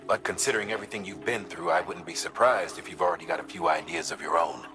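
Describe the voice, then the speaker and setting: Actor as deep-voiced, earnest mission commander. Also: male voice, fast, over music, lightly acted. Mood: concerned, determined. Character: earnest, resolute male mission commander advising a courier. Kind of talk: video game dramatic dialogue.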